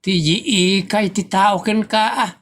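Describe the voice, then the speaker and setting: A man with a northern Philippine accent acting an exasperated husband and father in a comedy audio drama, scolding deliberately and emphatically. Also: high voice, fairly even intonation, a bit bright.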